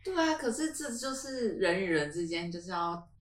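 A woman's voice: mid-range voice, very animated, normal speed, recorded from a distance. A young woman co-hosting a podcast in casual chat, recorded in a roomy space away from the mic. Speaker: podcast co-host.

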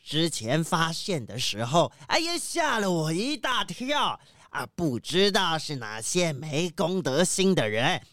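A man's voice: on the high side, very animated, measured pace, hardly any pauses, fairly bright tone, no room sound, studio quality. A man playing a folksy, plain-spoken ship captain in a children's radio drama, drawing out his exclamatory sentence endings. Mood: startled recounting.

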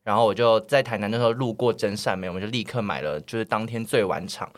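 A man with a Taiwanese Mandarin accent co-hosting a casual movie podcast, telling anecdotes in an animated, bantering way. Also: fairly low pitch, fast talker. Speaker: podcast co-host.

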